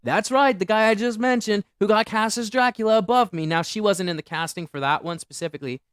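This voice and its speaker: A young man hosting a solo livestream, rambling and boasting loudly about himself. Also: sits high, brisk, clean tone, no room sound, clean studio sound. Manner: boastful, rambling, self-aggrandizing. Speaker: livestream host.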